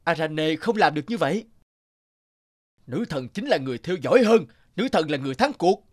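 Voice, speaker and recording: Cartoon casting: reverent village man, husky, fairly high voice, expressive delivery. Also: fairly quick, dry recording, clean studio sound. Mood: admiring. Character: earnest, awed village man watching contest. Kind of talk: animated fairy tale dubbing.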